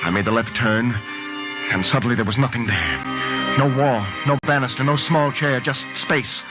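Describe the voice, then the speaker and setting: An actor playing an anxious, paranoid husband in old-time radio drama, with a brooding, halting delivery that can turn frantic. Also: male voice, mid-range voice, natural voice. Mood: tense narration. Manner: hushed brooding narration breaking into frantic, halting outbursts. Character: anxious, paranoid blind husband, demanding and fearful. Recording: muffled old radio broadcast, music behind.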